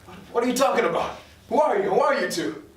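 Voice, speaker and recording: An actor at a script table read playing a dazed, folksy, confused patient who stammers and rambles. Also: male voice, very high-pitched, expressive, quick pace. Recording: echoey room from far off, noisy.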